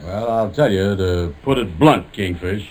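A man's voice: deep voice, a little slow. An actor playing an easygoing, blunt, girl-chasing lodge brother in a 1950s radio sitcom, with folksy, ungrammatical speech and pompous big words. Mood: blunt warning. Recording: slightly noisy 1950s radio recording.

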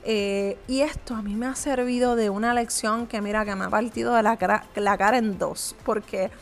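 A woman's voice: medium pitch, fairly even intonation, moderate pace. A woman, a small business owner giving earnest, conversational motivational advice straight to the viewer, with frequent hesitant fillers. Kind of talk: motivational vlog talk to viewer.

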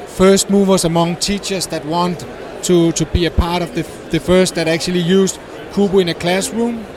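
A man describing his startup in a conference interview, hesitant with many pauses and non-native phrasing. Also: high voice, steady tone, a crowd in the background. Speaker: guest, startup founder. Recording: noisy conference floor.

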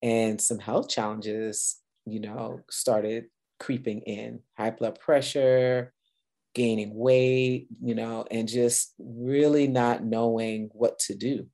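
A woman's voice: a bass voice, steady tone, slow, mellow. A middle-aged woman from Guyana hosting a podcast, talking earnestly and upbeat in a casual solo chat with some fillers. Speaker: podcast host.

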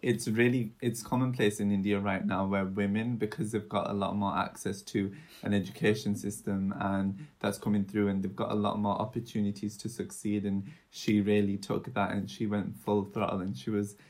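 Young female podcast co-host, very deep soothing voice, chatty and searching for words. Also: level tone, normal speed, hardly any pauses, darkish tone. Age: young adult. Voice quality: low, soothing voice. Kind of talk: casual true-crime podcast chat.

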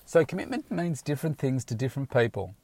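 A man's voice: mid-range voice, animated, fast talker, darkish tone, a little hiss or hum. A man, a fitness coach talking frankly and casually from his car, rambling with many restarts. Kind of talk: casual motivational talk to camera. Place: inside a car.

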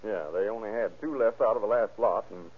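Actor as fast-talking husky detective. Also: male voice, fairly low pitch, close to an everyday voice. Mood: brisk, matter-of-fact. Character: streetwise, eager police detective reporting legwork. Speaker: actor in a radio crime drama. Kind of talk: old-time radio crime drama acting. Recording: narrow, tinny vintage radio recording.

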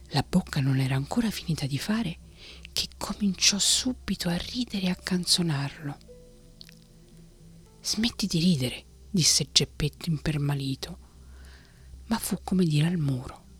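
A woman telling a bedtime story in an airy, breathy whisper. Her voice is low-pitched and her pace is slow. Faint music plays quietly behind her. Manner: soft whispering, unhurried. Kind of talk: whispered ASMR story reading.

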